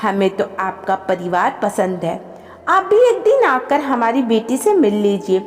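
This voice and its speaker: A woman's voice: a little high, very animated, a little slow, in a large hall. A woman voicing a polite, persuasive middle-aged mother of a bride in a family storybook tale. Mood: gracious. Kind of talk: storybook narration acting.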